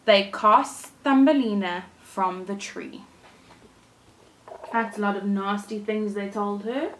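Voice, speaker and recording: A woman with a mid-pitched voice reads a storybook to young children at a slow pace. She sounds like a primary teacher, deliberate and expressive, leaning on key words for drama. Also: slight background noise, low-quality recording. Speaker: primary school teacher reading to her class.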